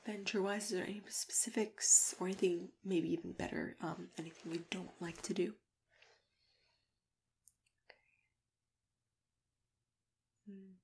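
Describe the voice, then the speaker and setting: A kindly monster matchmaker, played by a woman in an ASMR roleplay, with a soft, creaky voice that often drops to a whisper. She talks patiently and hesitantly, as if to a client. There is audible background noise with keyboard typing and clicks. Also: medium pitch, fairly even intonation, normal speed, pauses often, natural voice. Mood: gentle, attentive. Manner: gentle, hesitant, murmured acknowledgements. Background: computer keyboard typing.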